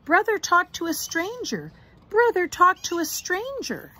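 A woman's voice: extremely high pitch, big pitch swings, measured pace, bright, forceful tone, voice pushed up, exaggerated voice. A middle-aged woman voicing an eager, outspoken little girl bear cub in a storybook, with childlike exclamations. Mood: excited, urgent.

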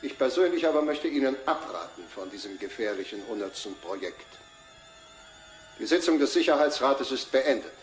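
A man's voice: medium pitch, lively intonation, normal speed, faint music behind, natural voice. A man playing a stern security council chairman in a radio play, formal and curt. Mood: stern, disapproving. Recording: echoing, roomy sound.

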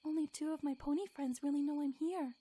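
A woman voicing a timid, gentle young female pony in a cartoon, speaking hesitantly and apologetically. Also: sits high, steady tone, fast talker, close to an everyday voice. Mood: apologetic. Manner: hesitant, apologetic, softly earnest. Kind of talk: animated fan drama acting.

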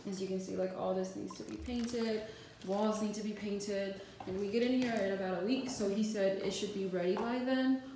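Very echoey noisy room, woman just chatting to her vlog, loose and unscripted, medium pitch. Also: steady tone, normal speed, hardly any pauses, dark tone. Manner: informal, unscripted, trailing thoughts. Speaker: vlogger. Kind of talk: casual vlog chat to viewers. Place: indoors, empty apartment and lived-in home. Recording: echoey rooms with clear background noise.

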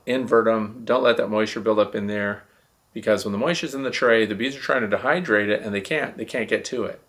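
An American man in his sixties with a gravelly voice, explaining things casually and emphatically as the host of a question-and-answer show. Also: on the low side, fairly bright tone. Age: 60s. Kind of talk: casual explanatory talk to camera. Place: small indoor room, seated at a desk.

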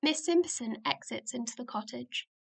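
A woman's voice: on the high side, moderate pace, gravelly, breathy edge. A woman reading stage directions as scripted narration for a radio play.

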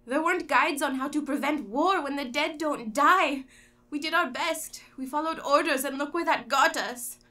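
A woman's voice: high voice, moderate pace, bright, forceful tone. A woman playing an embittered, grieving widow in a radio drama, speaking with raw emotion. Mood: tearful, bitter. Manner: emotional, tearful, prone to outbursts. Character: grieving, embittered widow of a war-ruined country.

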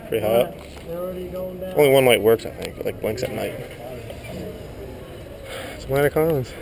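A man's voice: mid-range voice, normal speed, gravelly, crowd noise. A man on a lighthouse tower, giving casual running commentary and pointing out sights in short remarks into a body-worn camera. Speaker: tourist filming with a wearable camera. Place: inside and atop a lighthouse tower. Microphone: body-worn action camera.

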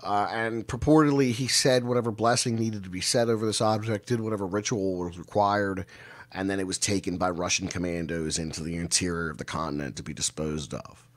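A middle-aged man telling stories as an interview guest on a video call, measured and given to dramatic pauses. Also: sits low, fairly even intonation. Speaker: guest storyteller and researcher of mysteries. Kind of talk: conversational storytelling interview. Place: indoor room, video call.

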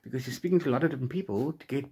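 Male book vlogger, forties, mid-pitched voice, unscripted and playful, tends to double back on his sentences. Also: very fast, a little mellow, husky. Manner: rambling, self-correcting, playful. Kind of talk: casual unscripted chat to camera. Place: small room at home with bookshelves.

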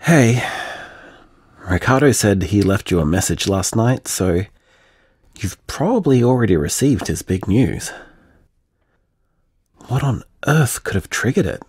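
An actor playing an anxious, frustrated, grieving man, halting and questioning as he vents in an audio drama voicemail. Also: on the low side, expressive, a little mellow, husky, no echo, studio quality. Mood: puzzled.

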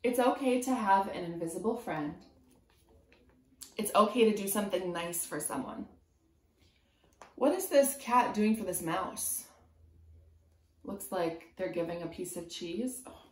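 A woman in her twenties reads a picture book aloud as a preschool teacher, pausing to put simple, encouraging questions to young children. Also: medium pitch. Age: 20s. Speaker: preschool teacher reading a storybook. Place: small room at home, indoors. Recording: roomy indoor echo.

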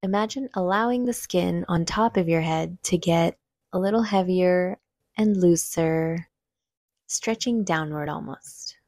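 A woman leading a guided meditation, giving gentle, unhurried instructions with long pauses between phrases. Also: on the low side, dry recording, clean studio sound.

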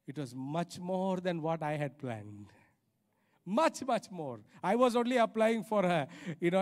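A middle-aged man preaching a sermon emphatically into a handheld mic, repeating key phrases and adding rhetorical questions. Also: high-pitched. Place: large church auditorium stage, indoors. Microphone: handheld microphone.

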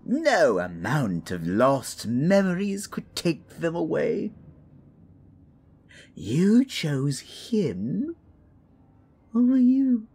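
A sly, theatrical fantasy witch for an audio roleplay. Her pitch swoops dramatically through slow, coaxing lines, and the tone is husky. She sounds genteel and knowing, like a hostess toying with a guest. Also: sing-song, dark tone, heavily acted. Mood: probing, knowing. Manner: drawn-out, coaxing, calls listener dear.

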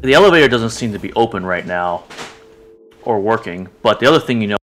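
A man's voice: lowish voice, expressive, a little fast, soft background music. A man acting as game master, casually narrating a tabletop game in an easygoing way.

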